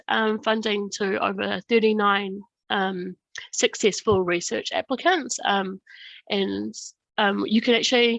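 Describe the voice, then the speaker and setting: A New woman with a cold, her voice gravelly as it starts to go. She presents a workshop in a hesitant, casual way, with lots of ums, and the recording is dry and close. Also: mid-range voice, a bit bright, no room sound, rough recording. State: has a cold, losing her voice. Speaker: funding program presenter.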